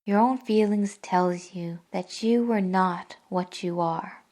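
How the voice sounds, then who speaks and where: An actress playing a sorrowful yet resolute young woman in a classic radio drama, speaking gravely and deliberately. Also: fairly low pitch, darkish tone. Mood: grieving, firm.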